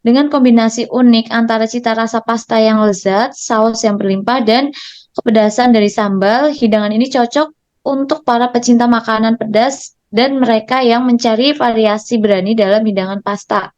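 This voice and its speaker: Young female student, fairly high voice, reads then chats, dull lo-fi recording. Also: fairly even intonation, normal speed, darkish tone. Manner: casual asides, many "eh" fillers. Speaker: student presenter. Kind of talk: class presentation.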